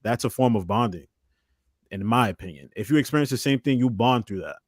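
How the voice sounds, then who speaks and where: A man in his twenties speaks as a guest in a casual panel chat. His voice sits at an ordinary pitch and the sound is close and dry. His wording is informal and slangy. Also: lively intonation, a little fast, no echo. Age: 20s.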